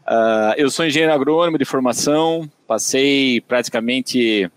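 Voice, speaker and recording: A middle-aged Brazilian man with a caipira accent from inland São Paulo, speaking in a measured way with many hesitations as a guest in an interview. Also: medium pitch, clean tone. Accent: countryside São Paulo (caipira). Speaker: guest, company director. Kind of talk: conversational interview.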